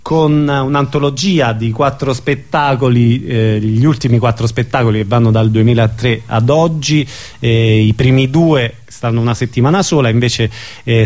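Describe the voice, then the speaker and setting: Male live-radio host, mid-range voice, informal and halting delivery. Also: mid-range voice, a little mellow. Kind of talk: casual live radio chat.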